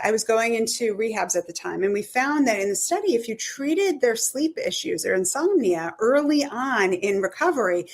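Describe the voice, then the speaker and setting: A middle-aged woman, a sleep medicine expert, answering interview questions in a conversational way, often restarting her sentences, her voice slightly hoarse. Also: fairly high pitch. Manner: talks in restarts and self-corrections. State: voice going hoarse.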